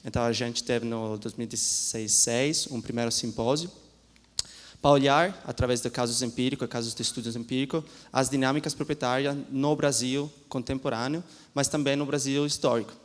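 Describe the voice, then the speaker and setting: A male law professor addresses a seminar at an ordinary middle pitch. He speaks haltingly, repeating words and restarting often as he mixes languages. His voice rings with the long reverb of a lecture hall. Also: steady tone. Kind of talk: seminar talk.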